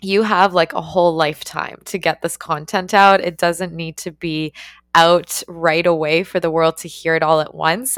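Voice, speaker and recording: A woman's voice: on the low side, a bit bright, smooth, no room sound. Earnest, encouraging woman podcast host. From born in Ukraine, raised in Canada. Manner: earnest, encouraging, repeats words for emphasis. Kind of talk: solo podcast monologue.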